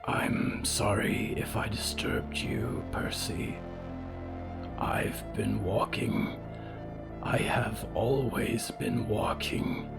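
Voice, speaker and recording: Very slow, creaky lonely undead wanderer acted by a man. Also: fairly low pitch, sing-song, heavily acted. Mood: soft, sad. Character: gentle, lonely undead wanderer speaking slowly and softly. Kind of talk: horror audio drama. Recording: loud music under the voice. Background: instrumental music.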